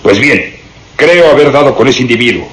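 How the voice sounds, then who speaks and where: Un actor interpretando a un inspector de policía insistente y triunfal, de hablar declamatorio, en una radionovela. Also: male voice, medium pitch, lively intonation, normal speed, fairly bright tone, a little hiss or hum, close to an everyday voice, low-quality recording. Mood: confident, triumphant. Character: insistent, triumphant male police inspector, sure of himself. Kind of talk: radio drama acting.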